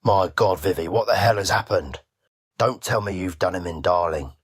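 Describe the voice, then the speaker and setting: An actor playing a shady, defensive nightclub manager in a radio crime drama, slangy and cheeky. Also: male voice, low voice, normal speed, breathy edge, no room sound, clean studio sound. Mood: alarmed.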